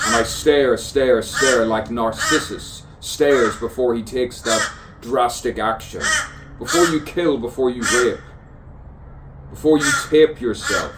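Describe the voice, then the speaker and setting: A man's voice: mid-range voice, takes it slowly. A man improvising spoken-word poetry in a musing, theatrical stream of consciousness, repeating phrases and playing with rhymes. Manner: musing, repeats phrases insistently, rhyming wordplay. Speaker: poet improvising a spoken soliloquy. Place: outdoors on an avenue. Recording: distant mic, clear outdoor background noise. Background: crows and birds cawing.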